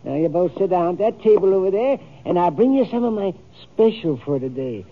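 High-voiced, chirpy western cafe cook. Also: male voice, animated, a little fast. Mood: cheerful, welcoming. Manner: chirpy, repeats himself. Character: cheery, fussy small-town cafe cook, male. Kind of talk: 1950s radio drama acting. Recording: thin, tinny old radio recording.

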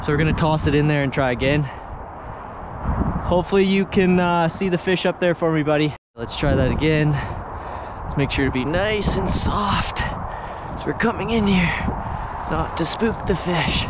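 Young man vlogging in the wind. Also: normal speed. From Canada. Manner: chatty, coaxing the fish, explaining the rig step by step. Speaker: fishing vlogger. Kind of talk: casual outdoor vlog narration. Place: on a river outdoors in wind, fishing from a small float boat. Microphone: action camera mounted on the boat. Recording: action camera audio with wind and nearby highway noise.

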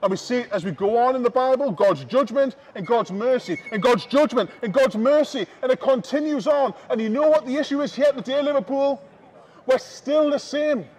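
A man with a strikingly high voice and a Northern English accent preaches outdoors on a busy shopping street. He speaks through a small headset mic and calls out to the passing crowd in an open-air sermon. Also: moderate pace. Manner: preaching loudly to passers-by, calling out to the crowd.

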